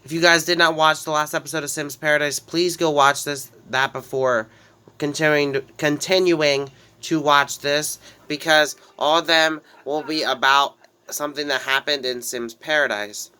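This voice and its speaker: A man's voice: a little high, fairly even intonation, moderate pace, sharp tone, clean tone. A man with a head cold and a slightly nasal voice, casually narrating his life-simulation game story in a rambling way with frequent restarts. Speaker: gameplay narrator. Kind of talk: casual let's-play storytelling.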